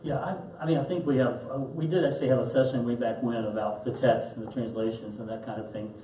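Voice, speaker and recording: A man's voice: mid-range voice, fairly even intonation, warm tone. Man lecturing in a roomy echoing hall. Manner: thoughtful, halting with fillers and restarts. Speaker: teacher. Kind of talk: lecture answering questions. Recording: roomy echo, mic at a distance, faint hiss.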